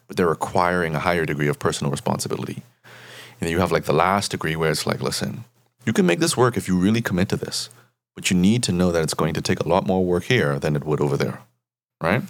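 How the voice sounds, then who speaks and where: Deep-voiced man, calm therapist. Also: no room sound. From Bahamas. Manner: measured, tags points with "right?". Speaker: therapist guest. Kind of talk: interview guest explaining.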